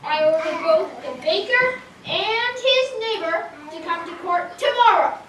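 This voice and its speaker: Dutiful judge, acted by a young performer, high, formal, puppet theatre, roomy echo, far from mic. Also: expressive, a little slow, fairly bright tone, heavily acted. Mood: solemn. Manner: formal, pronouncing orders. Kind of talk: children's puppet show acting. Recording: echoey, distant, faint noise.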